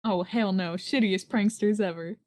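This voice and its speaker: A woman's voice: mid-range voice, moderate pace, a little mellow, close to an everyday voice. A woman voicing a goofy, eager teenage boy who is easily exasperated and talks in playful slang.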